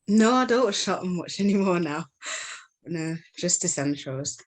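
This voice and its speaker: Woman on a podcast, very unhurried, short low-key casual answers. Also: animated. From Manchester, England. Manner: brief, low-key replies. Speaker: podcast guest. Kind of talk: casual chat.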